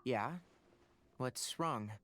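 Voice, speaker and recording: A man playing a studious, composed and slightly awkward young scholar in a video game, explaining things in a measured, thoughtful way. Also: mid-range voice, noisy background. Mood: calm, recollecting.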